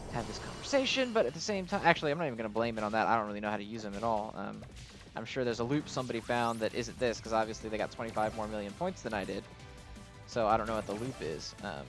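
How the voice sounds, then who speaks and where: A man giving casual, unscripted game commentary as a channel host, rambling and often hedging his opinions. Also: mid-range voice. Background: music.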